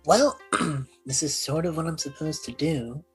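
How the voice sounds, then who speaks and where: A woman with a low, husky voice rambles casually as a player in a tabletop game. She is self-deprecating, and faint music plays behind her. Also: a little slow. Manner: rambling, self-deprecating, frequent throat clearing.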